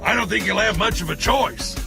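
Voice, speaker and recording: A man voicing a gruff, hot-tempered, blustering vehicle robot in an animated cartoon dub. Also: high voice, a little fast. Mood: stern. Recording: loud music behind the voice.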